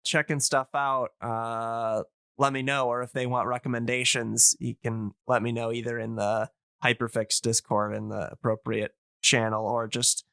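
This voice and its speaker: A man with a bright, forward voice talks casually as a podcast guest. He rambles and hedges his way along, and the sound is very dry and free of room echo. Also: mid-range voice, flat delivery, normal speed, gravelly. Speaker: podcast guest and radio drama hobbyist.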